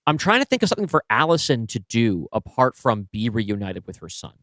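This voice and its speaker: A man's voice: medium pitch, very animated, fairly quick, fairly bright tone, no room sound, studio quality. A man co-hosting a podcast, casually talking through story structure in a considered, analytical way. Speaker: podcast co-host and writer. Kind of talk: casual creative brainstorming talk.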